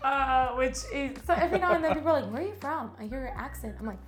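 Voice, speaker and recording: A woman talking as a guest on a casual podcast, animated and quick, acting out what people said to her. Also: high-pitched. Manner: animated, talks fast, acts out quotes. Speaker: guest actress. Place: Miami.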